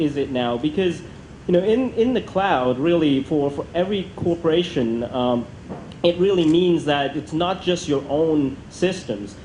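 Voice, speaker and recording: A man's voice: on the high side, a little mellow. A man moderating a conference panel in a hesitant, rambling way, often repeating words. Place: multi-purpose function room at a conference. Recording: echoey function room, faint background noise.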